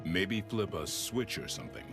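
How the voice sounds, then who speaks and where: A man's voice: sits low, big pitch swings, moderate pace, vocal fry, music in the background, full character voice. A man playing a big, sardonic hero creature in a game cutscene, dry and terse. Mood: dry sarcasm.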